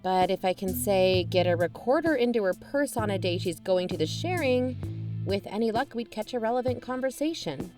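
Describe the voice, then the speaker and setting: A woman's voice: mid-range voice, expressive, normal speed, over music, natural voice. A woman playing a pragmatic, guarded amateur investigator in naturalistic audio drama dialogue. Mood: determined.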